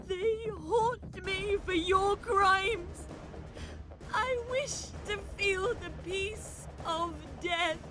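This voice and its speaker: A woman's voice: very high-pitched, music in the background, full character voice. A woman playing the anguished, accusing ghost of a betrayed young daughter in a video game cutscene. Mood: tormented, grim. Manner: anguished, accusing, drawn-out delivery.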